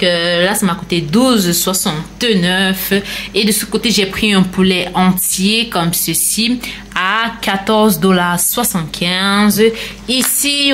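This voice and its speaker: A woman's voice: medium pitch, a bit bright, slight background noise. A woman chatting casually and explaining things directly to viewers in a vlog. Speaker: lifestyle vlogger. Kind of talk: casual grocery haul vlog narration.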